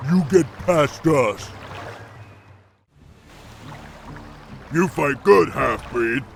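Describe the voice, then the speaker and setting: An actor voicing a blunt, brutish male ogre warrior in a video game, gruff and halting, in broken grammar. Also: medium pitch, a little hiss or hum. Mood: challenging. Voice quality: gruff, growly. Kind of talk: video game cutscene acting. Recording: music behind the voice.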